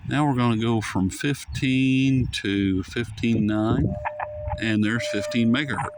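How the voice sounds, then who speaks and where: A man's voice: medium pitch, a little slow. A man giving a casual, unscripted radio demonstration with folksy enthusiasm, as a hobbyist presenter. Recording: noisy, with shortwave radio static.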